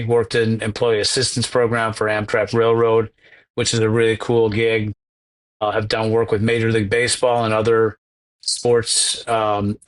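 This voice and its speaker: A man's voice: mid-range voice, a bit bright. A middle-aged man, a healthcare executive guest, recounting his long career modestly with many fillers. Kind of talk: conversational interview.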